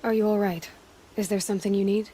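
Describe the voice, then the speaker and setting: An actress playing a young huntress heroine in a video game, speaking in a measured, direct, questioning and matter-of-fact way. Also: female voice, medium pitch, steady tone, dark tone, slightly rough, natural voice. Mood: calm, curious. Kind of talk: video game character acting.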